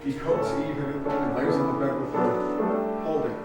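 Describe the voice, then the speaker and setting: A man's voice: medium pitch, steady tone, brisk, creaky, loud music behind the voice. A man reading scripted stage directions as the narrator of a staged theatre reading. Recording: very echoey theatre, distant mic, faint noise.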